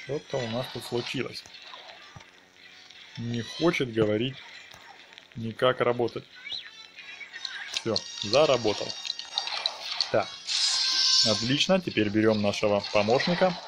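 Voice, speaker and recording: A man with a mid-range voice hosts a gameplay video. He narrates what he is doing in short, informal phrases. Music runs clearly behind his commentary. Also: medium pitch, unhurried, pauses often. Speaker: gaming video host. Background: game music.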